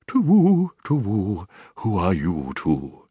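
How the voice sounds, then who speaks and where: Guy doing a big hooting owl from a storybook, polite but flustered, pitch swoops about. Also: huge pitch swings, breathy edge, no room sound, clean studio sound, exaggerated voice. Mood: gentle, puzzled. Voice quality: soft, hooting. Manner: repeats itself, breaks into drawn-out hoots. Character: large short-sighted owl, flustered, courteous and hooting.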